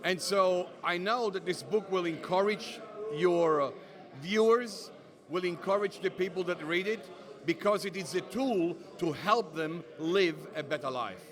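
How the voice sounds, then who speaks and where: A middle-aged man with an Italian accent speaks as a pastor and author, steady and affirming. His voice sits fairly high. A crowd murmurs clearly behind him. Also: unhurried. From Italy. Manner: steady and affirming, frequent short agreements. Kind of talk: interview guest, earnest testimony.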